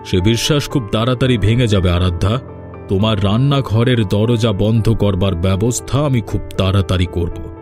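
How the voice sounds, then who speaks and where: A man's voice: on the low side, moderate pace, music in the background, close to an everyday voice. A man voicing a scheming merchant who talks in a smug, threatening way in a cartoon drama. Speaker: villain.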